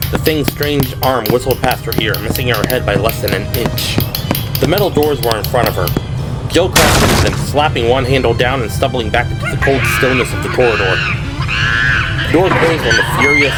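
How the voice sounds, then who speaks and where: A man reading dramatic, scripted horror narration for an audio drama, with music and effects behind him. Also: medium pitch. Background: music and sound effects.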